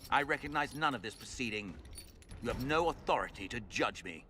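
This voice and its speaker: A man's voice: mid-range voice, lively intonation, fairly quick, bright, forceful tone, slight background noise. A man playing a defiant, pompous fanatical lord held prisoner in a fantasy video game, speaking with declamatory contempt. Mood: defiant, contemptuous.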